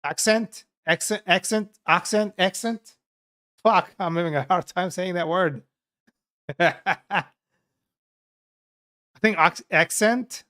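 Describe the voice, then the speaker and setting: A man's voice: high-pitched, takes it slowly, long pauses, bright, forceful tone. Turkish-accented man coding live, swearing. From Turkey. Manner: frank and profane, talks to chat while coding. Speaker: software developer streaming live coding. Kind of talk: casual live coding stream chat.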